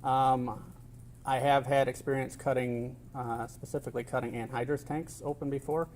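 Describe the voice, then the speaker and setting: A middle-aged man giving expert testimony in court, answering in a measured, technical way with frequent hesitations and a formal, polite manner. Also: medium pitch, fairly even intonation, a little mellow, a little hiss or hum. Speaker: expert witness.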